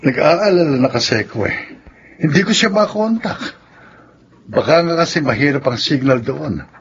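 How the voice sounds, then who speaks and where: A man's voice: on the high side, lively intonation, moderate pace, husky. An actor playing a worried, commanding man of the household in a Filipino radio drama, anxious as he gives instructions. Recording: muffled radio drama, faint background noise.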